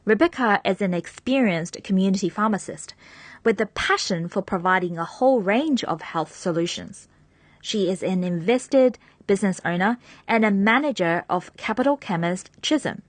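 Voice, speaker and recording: A woman's voice: mid-range voice, no room sound. A woman hosting a podcast, reading an upbeat introduction and asking her guest questions. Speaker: podcast host.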